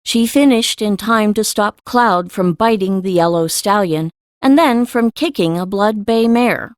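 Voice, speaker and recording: Female narrator for fiction audiobook. Medium pitch, scripted storytelling, dry studio recording. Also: a little slow, dry recording.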